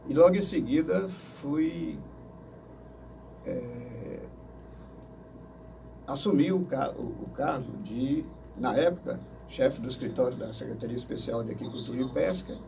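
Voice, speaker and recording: An older man from Manaus, answering interview questions slowly and hesitantly, with many fillers and restarts. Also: mid-range voice, gravelly. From Manaus, Brazil. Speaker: interview guest. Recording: distant mic with faint noise.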